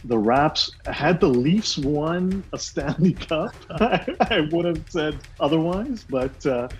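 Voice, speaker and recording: A man's voice: a little high, expressive, slow pace, soft background music. A middle-aged man from Toronto, a sports broadcaster, chatting animatedly in an interview, laughing often and slipping into Punjabi. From Toronto, Canada. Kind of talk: casual video call interview.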